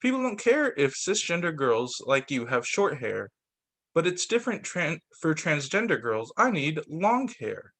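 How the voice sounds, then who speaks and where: A man's voice: fairly high pitch, very animated, a little slow, a bit bright, heavily acted. A man voicing an earnest, frustrated young girl in a children's storybook. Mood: earnest, insistent.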